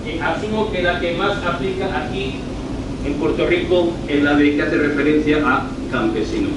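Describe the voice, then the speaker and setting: A middle-aged man with a northern Spanish accent giving an informal university presentation, deliberate, repeating and rephrasing himself. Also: a little high. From northern Spain. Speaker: university lecturer. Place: university lecture room, recorded from afar. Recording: very echoey room, distant mic, clear noise.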